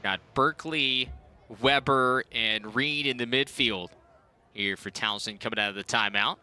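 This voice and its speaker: A man doing play-by-play commentary on a college lacrosse broadcast, with brisk calls of the action. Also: mid-range voice, bright, forceful tone. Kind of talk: sports commentary.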